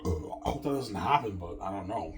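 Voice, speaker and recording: A man's voice: sits low, animated, quick pace, music in the background. A man in his thirties rambling to his viewers in a self-assured, repetitive way, often restarting his sentences. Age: 30s. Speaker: vlogger.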